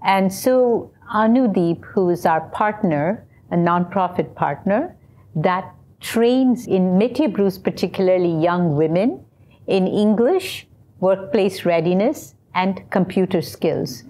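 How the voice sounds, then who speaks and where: A woman who founded a company, explaining its background clearly in an interview. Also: medium pitch, lively intonation, slow, warm tone. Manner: articulate, explanatory.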